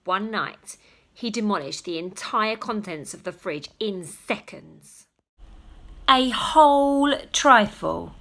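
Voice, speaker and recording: A woman reading a children's story aloud with dramatic, emphatic storytelling and drawn-out words. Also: medium pitch, animated, a bit bright. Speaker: storybook reader. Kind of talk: expressive children's story narration.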